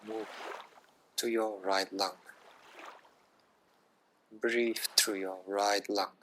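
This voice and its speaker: Slow, low-voiced man guiding relaxation. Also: little pitch movement, slight background noise. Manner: hushed, soothing, short phrases with long pauses. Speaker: yoga nidra guide. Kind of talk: guided relaxation meditation.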